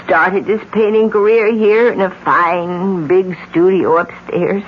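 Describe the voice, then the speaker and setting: Slow-speaking, weary older mother, radio drama. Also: fairly low pitch, fairly even intonation. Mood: weary, bitter. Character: weary older mother, bitterly relieved yet puzzled. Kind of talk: 1970s American radio drama acting. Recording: muffled 1970s AM radio recording with faint noise.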